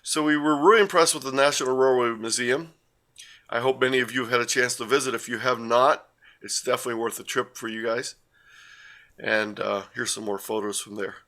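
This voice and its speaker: An older man tells a casual story over slides, wandering off track and correcting himself as he goes. His voice is bright and forward, at an ordinary middle pitch, heard over a video call. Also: fairly even intonation, brisk. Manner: rambling, with frequent uh and self-corrections. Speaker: guest presenter.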